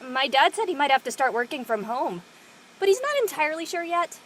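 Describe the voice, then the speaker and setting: A woman acts out a cheeky teenage girl in a casual audio drama. Her voice is very high and animated, and the delivery is playful and joking. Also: brisk, slight background noise. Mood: upbeat, casual. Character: Josie, cheeky, food-loving teenage high school girl. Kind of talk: conversational acting in an online audio play.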